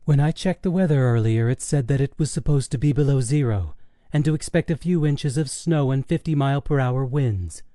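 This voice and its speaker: A man's voice: mid-range voice, lively intonation, a little fast, mellow, dry recording, natural voice. A man voicing a wry, knowing mother in an audiobook, with a dry, teasing manner. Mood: dryly sarcastic. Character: wry, knowing mother gently teasing her teenage daughter. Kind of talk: audiobook character dialogue.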